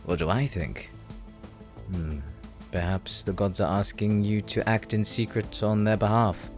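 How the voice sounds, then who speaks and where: A man's voice: fairly low pitch, little pitch movement, a little slow, close to an everyday voice. A man acting in an audio roleplay as a shrewd, devout prisoner who speaks in a formal, probing manner. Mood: wry, wary. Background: music.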